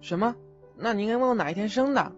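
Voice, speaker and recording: A man's voice: high voice, quick pace, lightly acted. A man acting a timid young ghost boy, muffled. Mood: shy. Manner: hesitant, soft-hearted, stammering. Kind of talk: Chinese audio drama acting. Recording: dull lo-fi audio with music.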